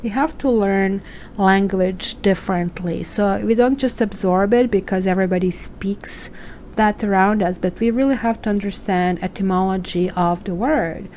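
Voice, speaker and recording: A woman's voice: mid-range voice, normal speed, a little mellow, a little hiss or hum. A woman from Croatia with a Croatian accent, reading tarot cards in a chatty, musing way and asking questions aloud. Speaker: tarot reader.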